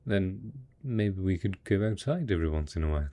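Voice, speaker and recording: A man's voice: sits low, lively intonation, fairly quick, warm tone. A man playing a devoted post-apocalyptic husband in an intimate audio drama, speaking tenderly and patiently as he coaxes his monstrous spouse. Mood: patient, encouraging. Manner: tender, coaxing, patient, full of pet names.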